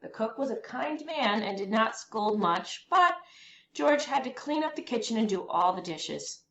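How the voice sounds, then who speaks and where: Animated higher-voiced woman reading a children's story. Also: dull audio. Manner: animated, deliberate phrasing for young listeners. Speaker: read-aloud storyteller. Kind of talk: expressive children's picture book narration. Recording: roomy echo, faint background noise.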